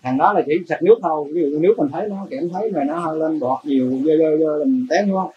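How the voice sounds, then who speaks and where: A man's voice: on the high side, quick pace, dull audio. A middle-aged man, a shrimp farmer, explaining his methods in a plain, matter-of-fact, rambling way during an on-site interview in an open farm shed. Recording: noisy outdoor farm recording.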